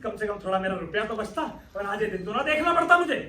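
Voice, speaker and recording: A young man playing a miserly, suspicious father in a theatrical stage comedy, loud, accusing and exasperated. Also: high-pitched, animated, quick pace. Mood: outraged. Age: young adult. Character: miserly, irate, suspicious father obsessed with his money. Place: indoor stage, recorded from the audience. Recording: echoey hall, far-off mic, noisy.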